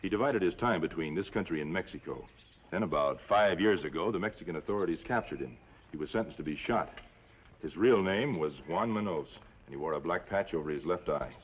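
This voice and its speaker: An actor playing a calm, serious, authoritative hero in an old television western, speaking articulately. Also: male voice, deep voice, brisk, slightly rough. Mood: grave. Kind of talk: 1950s television western acting. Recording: muffled, noisy old TV western soundtrack.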